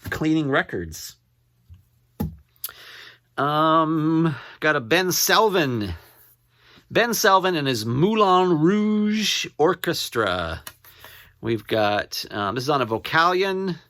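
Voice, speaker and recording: A man chatting casually as he shows off his finds as a collector, rambling and halting, often repeating what he reads out. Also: on the high side, big pitch swings, bright, forceful tone, dry recording. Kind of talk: casual show-and-tell to camera.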